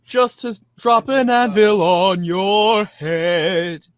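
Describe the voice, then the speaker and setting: A man hosting a read-aloud show, addressing listeners in a theatrical, mock-grand and deliberate way. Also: high voice, no echo, studio quality.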